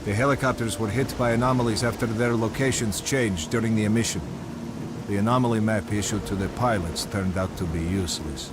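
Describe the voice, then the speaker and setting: A man's voice: lowish voice, brisk, noisy background, natural voice. A man playing a composed, dutiful army major in a video game, speaking formally and matter-of-factly. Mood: formal, reporting. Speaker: soldier protagonist.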